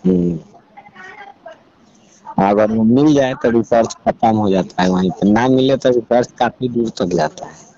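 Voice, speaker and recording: A man's voice: mid-range voice, lively intonation, measured pace, long pauses, mellow. A man with a Bihari accent chatting casually on a phone call, explaining things in a colloquial way. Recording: dull lo-fi call recording, clear noise.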